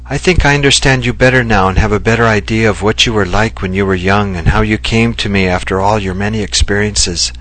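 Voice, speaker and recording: A man voicing a gentle, loving Japanese wife who speaks earnestly and reflectively. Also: fairly low pitch, fairly even intonation, a little fast, hardly any pauses, no room sound, natural voice, low-quality recording. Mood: earnest, tender. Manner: earnest and heartfelt, softly reflective.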